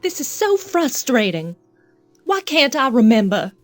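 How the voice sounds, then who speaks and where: A woman's voice: high-pitched, very animated, measured pace, faint music behind. An actress playing a vulnerable, confused woman with amnesia in an audio drama. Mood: frustrated, upset.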